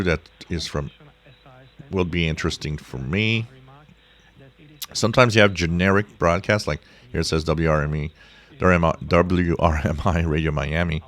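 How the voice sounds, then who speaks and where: A man giving a casual tutorial as a hobbyist, thinking aloud in an unhurried way. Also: low-pitched, fairly bright tone. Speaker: shortwave radio hobbyist presenting a tutorial. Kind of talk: casual explanatory screen-share tutorial.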